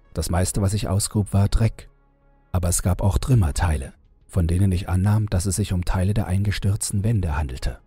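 A man reading a scripted horror audiobook in a measured, dramatic storytelling manner. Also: low-pitched, mellow, breathy edge, no room sound. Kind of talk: scripted creepypasta audiobook narration. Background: background music.

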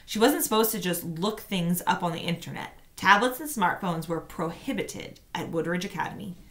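A woman in her thirties, a teacher, reading a children's story aloud in expressive narration at home. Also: lowish voice, normal speed. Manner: expressive, acts out dialogue. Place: small room at home, indoors.

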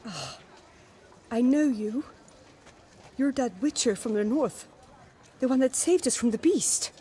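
An actress playing a humble, mournful flower seller in a video game, plain-spoken and wistful, in short phrases. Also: female voice, on the high side, animated, takes it slowly, dark tone, breathy edge, full character voice. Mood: kindly, wistful.

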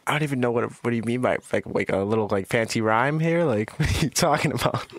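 A man's voice: medium pitch, fast. A man talking casually and off the cuff, hesitant and unsure of himself. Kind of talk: casual, unscripted vox pop.

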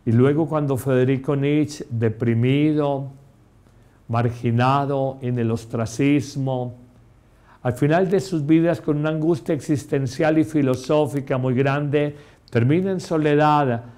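A middle-aged priest delivers a homily at an unhurried, deliberate pace. He preaches with emphasis and talks straight to the listener. His voice sits at an ordinary pitch for a man, recorded close in a small chapel. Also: fairly even intonation, mellow. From Colombia. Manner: slow, emphatic preaching, addressing the listener directly. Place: small chapel set, indoors.

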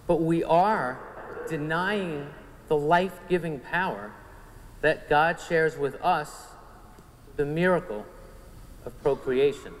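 A man in his forties with a Long Island New York accent, earnestly telling his personal faith story to a men's conference in a church. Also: fairly high pitch. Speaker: conference speaker giving testimony. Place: large church sanctuary. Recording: church hall reverb, faint room noise.

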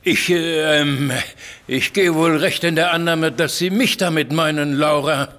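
A man's voice: on the high side, fairly even intonation, measured pace, fairly bright tone. An actor playing a pompous, blustering army general in an audio drama, stumbling over his words. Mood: indignant.

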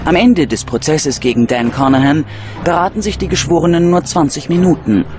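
A man's voice: a little high, a little fast. A man reading scripted true-crime documentary narration as the narrator. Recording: music behind the voice. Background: background music.

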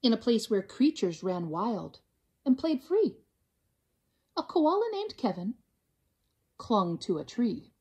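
A woman reading a rhyming storybook aloud to children in an expressive, theatrical, drawn-out way. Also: fairly high pitch, breathy edge.

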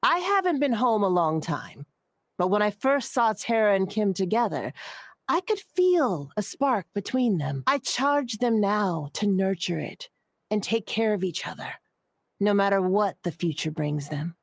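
Older female officiant for a video game, kindly, mid-pitched. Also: sing-song, measured pace, gravelly, dry recording, exaggerated voice. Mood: solemn, kindly.

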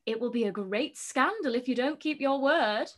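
Woman playing a high, pushy young girl. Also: moderate pace, fairly bright tone. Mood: insistent. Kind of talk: live-read period radio play.

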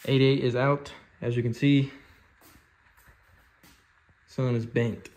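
A man's voice: mid-range voice, little pitch movement, takes it slowly, darkish tone. A young man in his twenties filming a casual vlog on his phone at arm's length, talking loosely and swearing when something shocks him. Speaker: car vlogger. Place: outdoors at a drag strip and car meets, indoors in a garage. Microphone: phone camera held at arm's length. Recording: noisy outdoor phone audio. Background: engines and crowd noise.

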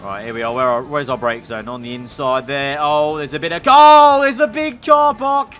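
A young man commentating a racing game, excitable and slightly hoarse, breaking into shouts at crashes. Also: a little high, moderate pace, faint music behind. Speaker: gaming YouTuber.

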